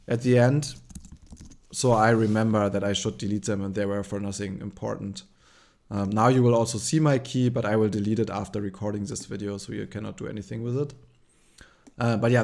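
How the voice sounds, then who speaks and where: A man with a German accent hosting a relaxed screen-share tutorial, thinking aloud with frequent ums and likes. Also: lowish voice. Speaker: tutorial host.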